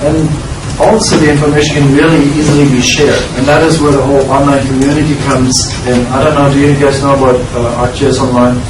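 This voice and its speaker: A man with a German accent presents from a classroom, stopping and restarting his sentences as he goes. He is heard from a far camera in a roomy, echoing space, with steady room hiss behind him. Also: medium pitch. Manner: halting, with frequent uh fillers and restarts. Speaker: industry speaker. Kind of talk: conference presentation. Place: classroom with projector screen.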